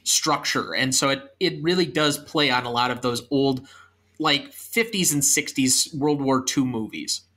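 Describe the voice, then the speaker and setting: Bright-voiced young man hosting a podcast. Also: mid-range voice. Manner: earnest and explanatory, then brisk read-out. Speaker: podcast host. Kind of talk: casual podcast chat and scripted outro.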